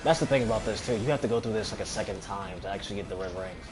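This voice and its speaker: A man's voice: fairly low pitch, rapid-fire, music in the background. A man casually commentating on a video game as he plays, grumbling and swearing. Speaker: gamer commentating on own play.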